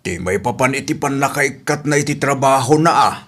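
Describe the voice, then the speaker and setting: A man's voice: medium pitch, lively intonation, a little fast, a bit bright. A man with an Ilocano Filipino accent playing a stern father scolding his wayward daughter in a radio drama. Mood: questioning, reproachful.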